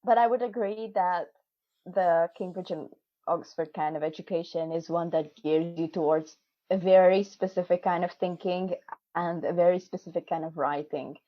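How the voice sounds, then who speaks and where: A woman's voice: on the low side, steady tone, moderate pace, no room sound. A woman in her twenties whose first language is not English, talking casually in a video call interview, chatty and laughing often. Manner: chatty, laughs often, many fillers and restarts. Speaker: interviewee, PhD student. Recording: dull, dry video call audio.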